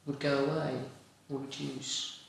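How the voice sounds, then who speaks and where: A man acting a measured doctor in a training film, gently and carefully questioning a patient in an echoey room. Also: medium pitch, flat delivery, vocal fry. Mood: gentle, explanatory. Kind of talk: acted clinical interview. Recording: echoey room, faint noise.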